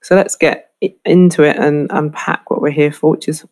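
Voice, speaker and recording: A middle-aged woman from the UK, a lecturer giving a clear, explanatory lesson on branding. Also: low voice, fairly even intonation, normal speed, a little mellow. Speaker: fashion marketing lecturer. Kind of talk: instructional talk to camera.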